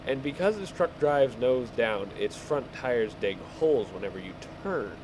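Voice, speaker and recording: A man casually telling rambling stories while he plays a game, halting and easily distracted. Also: medium pitch, lively intonation. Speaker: gamer telling stories over gameplay. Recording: clear background vehicle engine noise. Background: game vehicle engine sounds.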